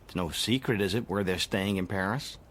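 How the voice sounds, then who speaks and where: A cool, secretive American man in a radio drama, with a fairly low voice. He sounds controlled and insistent, both in dialogue and in first-person narration. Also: fairly quick, fairly bright tone. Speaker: actor, lead and narrator.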